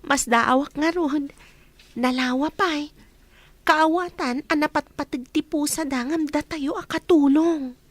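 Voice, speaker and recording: A humble, gentle young housemaid, played by a woman in a radio drama. Her voice sits high and stays deferential. The recording is close and dry. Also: unhurried, dry recording. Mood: wondering. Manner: polite, deferential. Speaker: new housemaid.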